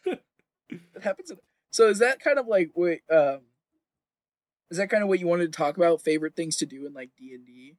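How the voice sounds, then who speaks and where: A man co-hosting a podcast in casual, excitable, joking chat. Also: high voice, moderate pace, pauses often, no room sound. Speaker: podcast co-host.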